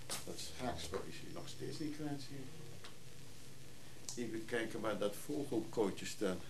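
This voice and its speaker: A man's voice: fairly low pitch, lively intonation, unhurried, noisy background. An actor playing a chatty, wry middle-aged man who teases his brother in a naturalistic radio play. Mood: quiet, musing. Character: teasing, chatty middle-aged brother named Freek, recovering drinker.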